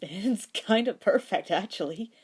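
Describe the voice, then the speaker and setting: A woman voicing a brash, gruff young man with strong feelings in a fan fiction reading. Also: mid-range voice, lively intonation, measured pace, darkish tone, voice pushed up. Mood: curious. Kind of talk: acted fan fiction podfic dialogue.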